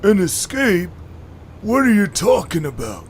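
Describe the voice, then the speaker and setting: An actor playing a gruff, dim-witted and grumpy creature guard in an audio drama. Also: male voice, high-pitched, big pitch swings, unhurried, slight background noise. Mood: suspicious.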